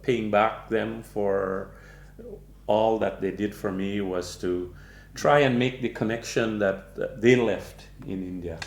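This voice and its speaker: A man in his sixties from Guyana, a guest speaking in an interview, slow and measured with many pauses and fillers. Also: lowish voice, fairly even intonation, gravelly, roomy sound. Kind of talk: interview conversation.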